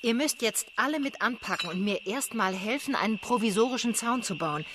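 Spirited, high-voiced farm mother played by an actress. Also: animated, fairly quick, noisy background, lightly acted. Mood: brisk, commanding. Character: headstrong, vigorous pioneer farm mother. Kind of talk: radio drama acting.

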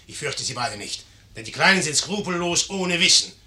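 An actor playing a proud, ambitious young Napoleon in an imperious, declamatory manner in a 1950s radio play. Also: male voice, on the high side, lively intonation, normal speed, bright, forceful tone. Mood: grandiose.

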